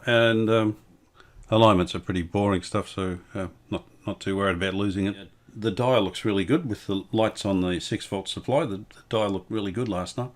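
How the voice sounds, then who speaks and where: A man with a low voice talks through hands-on restoration work as he goes. His delivery is understated and matter-of-fact, with the unscripted feel of a casual workbench vlog. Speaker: restorer presenting a hands-on vintage radio repair.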